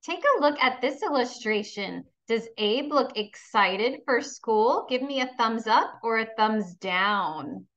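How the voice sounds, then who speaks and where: A woman's voice: a little high, expressive, takes it slowly, few pauses, fairly bright tone, muffled recording. A woman teaching kindergarten in a read-aloud lesson, encouraging and instructional as she addresses young pupils.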